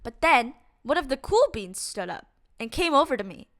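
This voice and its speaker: A woman reading a children's picture book aloud as a storyteller, in an expressive, deliberate and dramatic way. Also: high voice, no room sound, clean studio sound. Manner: deliberate, dramatic delivery with acted-out exclamations. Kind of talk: expressive read-aloud storytime narration for kids.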